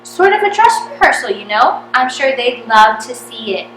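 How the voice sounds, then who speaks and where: A woman's voice: a little high, very animated, normal speed, pitched above the performer's own voice. A woman voicing a sarcastic teenage boy who teases with mock-earnest politeness. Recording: echoey room, distant mic, faint air-conditioner hum.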